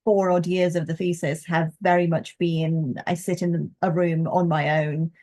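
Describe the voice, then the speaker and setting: A woman's voice: fairly low pitch, flat delivery, measured pace, mellow. A young woman researcher in a reflective conversation, speaking articulately and thoughtfully with hesitant phrasing. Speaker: postdoctoral folklore researcher and co-host.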